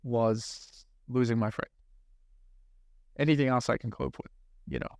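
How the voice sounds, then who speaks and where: A man in his thirties with a New Zealand accent speaks at a middle pitch with a gravelly edge, earnest and reflective as he asks probing questions. The recording is close and dry, right at the mic. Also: steady tone, unhurried, no room sound. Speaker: podcast host. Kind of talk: casual interview chat.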